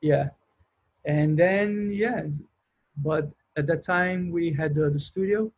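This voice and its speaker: A man's voice: on the high side, slow, slightly rough, highs cut off. A man with a Brazilian accent, a sound engineer, telling his story in a casual interview and rambling with many restarts. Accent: Brazilian Portuguese. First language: Portuguese.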